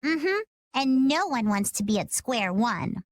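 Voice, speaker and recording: A cheeky little girl pipes up in a high, bouncy voice. She is a fearless, chirpy game adventurer, recorded close and dry. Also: big pitch swings, unhurried, no room sound. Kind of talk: video game cutscene acting.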